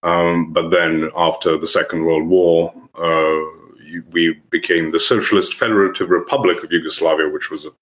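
Deep-voiced young man with a Serbian accent. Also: steady tone, fairly bright tone. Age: young adult. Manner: thoughtful, halting, frequent restarts. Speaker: podcast guest. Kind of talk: conversational podcast interview.

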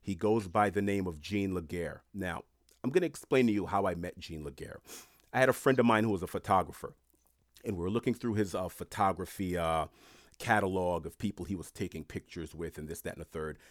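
A podcast host with a lowish male voice, recorded dry and close with no room sound. He is from Haiti and tells stories in an animated way, pushing up for emphasis and dropping low between points. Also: a little fast, no echo. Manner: animated storyteller, rising and falling in emphasis. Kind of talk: casual podcast interview.

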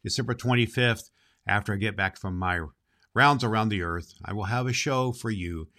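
A man's voice: lowish voice, moderate pace, bright, forceful tone. A man playing a jolly, kindly old Santa Claus, reading and hosting for children with emphatic affection. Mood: cheerful, affectionate. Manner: emphatic, affectionate, addressing boys and girls. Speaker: storybook reader and show host.

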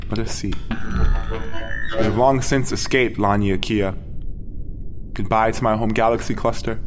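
A man's voice: on the low side, a little hiss or hum, lightly acted. A man playing an exiled artificial intelligence in an audio drama, formal and articulate, musing to himself like a wounded, precise inventor. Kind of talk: audio drama acting, log narration.

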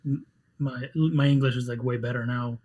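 A man whose first language is Spanish, a game developer talking casually in an interview, halting with many restarts and fillers. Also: medium pitch, steady tone, darkish tone, lo-fi sound.

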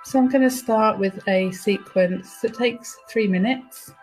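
A woman's voice: mid-range voice, darkish tone, low-quality recording. Soothing woman meditation guide over music. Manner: soothing, unhurried instruction with pauses. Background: music behind the voice.

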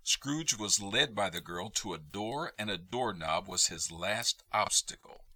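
A man narrating a radio play as a scripted story, with measured storytelling delivery. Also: on the low side, expressive, sharp tone.

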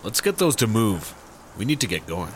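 An anxious sidekick cop acted by a man frets and urges in a fairly low but animated voice. It is comedy audio drama, with clear background noise. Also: normal speed. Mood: urgent worry. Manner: worried, urging. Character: anxious, cautious male buddy-cop sidekick.

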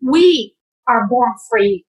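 A woman's voice: a little high, expressive, very slow, a little mellow. A woman from Jerusalem, a guest giving fervent, urging advice in an interview, often repeating herself for emphasis. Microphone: camera. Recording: echoey room.

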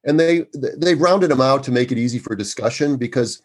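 A man in his sixties, an obstetrician speaking as a guest in an interview, with a loud, emphatic and earnest way of talking. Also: mid-range voice, brisk. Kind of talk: conversational interview.

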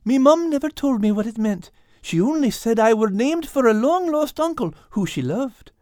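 Woman doing a high-pitched, sheepish Irish tomcat. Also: lively intonation, moderate pace, darkish tone, dry recording, clean studio sound, natural voice. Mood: humble. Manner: sheepish, self-deprecating. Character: humble, dopey, apologetic male Irish cat, cowardly but sweet.

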